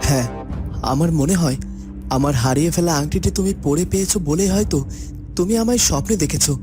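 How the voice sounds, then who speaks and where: A man voicing a calm, noble young prince in a fairy-tale cartoon, explaining things tenderly and in measured phrases. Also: a little high, natural voice. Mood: tender, reassuring. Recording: loud music under the voice.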